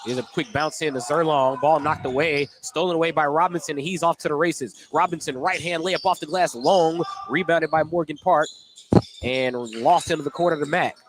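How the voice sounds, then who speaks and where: A man's voice: fairly high pitch, normal speed. A man calling live basketball play-by-play on the radio from a gym, given to chuckling between calls. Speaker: play-by-play announcer. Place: indoor basketball gym, courtside. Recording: noisy gym broadcast. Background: game noise, bouncing basketball.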